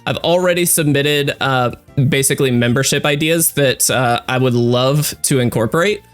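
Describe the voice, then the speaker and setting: A man's voice: medium pitch, normal speed, faint music behind. A man with a stuffy, congested voice giving chatty, upbeat let's-play commentary as a gaming creator, with lots of fillers. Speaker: gaming YouTuber.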